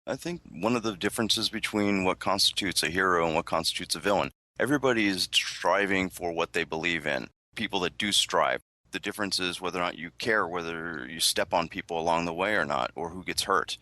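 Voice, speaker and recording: A man's voice: low voice, fairly quick, hardly any pauses, bright, forceful tone. A man giving a reflective opinion as a panelist on a podcast.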